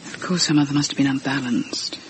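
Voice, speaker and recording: A woman's voice: extremely low pitch, fairly even intonation, brisk, background noise. A woman playing a concerned, inquisitive lady in a vintage radio horror drama, speaking in an earnest, probing way. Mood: shocked, troubled. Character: concerned, inquisitive lady questioning her housekeeper.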